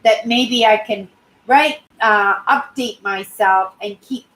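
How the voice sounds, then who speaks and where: A woman in her sixties from Canada, chatting casually on her live stream in a clear, high-pitched voice and often ending sentences with "right?". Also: takes it slowly, a bit bright, low-quality recording. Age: 60s. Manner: rambling, tags sentences with "right?". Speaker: YouTube channel host.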